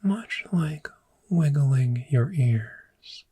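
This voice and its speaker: A woman voicing a calm, patient dragon therapist in a gentle, breathy, soft-spoken ASMR roleplay, with measured, reassuring instruction. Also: sits low, heavily acted. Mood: patient explaining. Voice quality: breathy, whispery.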